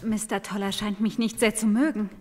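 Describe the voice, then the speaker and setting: A woman's voice: medium pitch, flat delivery, a little fast, warm tone, lightly acted. A woman playing a polite, modest young governess with a reserved manner in a German radio drama. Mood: curious, unsure. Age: young adult.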